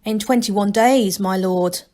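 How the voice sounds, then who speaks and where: A woman's voice: medium pitch, slow pace, dark tone, close to an everyday voice. A woman voicing an earnest gnome inventor in a storybook, speaking formally and urgently with stiff respect.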